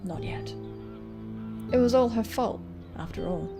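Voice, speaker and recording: A woman with a fairly high voice reads a visual novel aloud. Her narration is expressive, and guitar music plays behind her. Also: unhurried, vocal fry. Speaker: gaming video host reading a visual novel.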